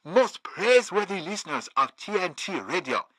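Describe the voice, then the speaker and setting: High, husky ceremonious envoy. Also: male voice, very animated, normal speed, sharp tone, no room sound, exaggerated voice, rough recording. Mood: effusive, ceremonious. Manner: formal, florid, effusive. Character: effusive, ceremonious male Chinese envoy. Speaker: foreign envoy. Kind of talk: formal propaganda address.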